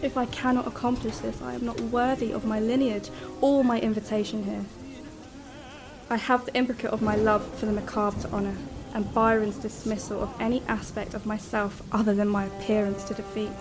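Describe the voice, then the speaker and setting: A woman's voice: on the high side, little pitch movement, fairly quick, close to an everyday voice. An actress playing an anguished, brooding young woman writer in a radio drama, speaking in solemn, formal literary monologue. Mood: urgent, determined. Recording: faint music under the voice.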